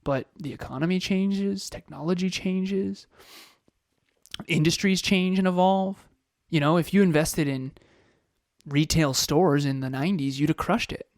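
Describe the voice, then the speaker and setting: Man, 30s, podcast co-host, energetic, rhetorical questions, slightly high voice, dry close sound. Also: unhurried, no echo. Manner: energetic, fires off rhetorical questions and repeats phrases. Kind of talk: motivational podcast talk.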